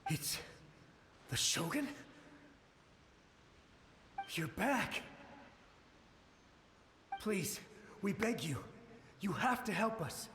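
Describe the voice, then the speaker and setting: A man's voice: high voice, sing-song, drawn-out words, pauses often, fairly bright tone, full character voice. A man voicing the ghost of a desperate, terrified samurai soldier in a video game, urgent and pleading. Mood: pleading, bewildered. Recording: echoey long reverb, faint noise.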